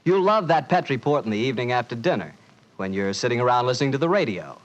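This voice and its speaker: A man's voice: mid-range voice, very animated, quick pace, highs cut off. A man with an American accent announcing in a folksy, salesmanlike 1940s radio style.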